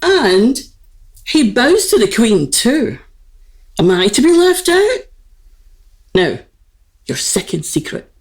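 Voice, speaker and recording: A woman's voice: on the high side, big pitch swings, takes it slowly, long pauses, exaggerated voice. A woman playing an aristocratic, lovelorn queen, grand yet girlish, with stately, formal theatrical diction in a comic radio play. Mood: indignant.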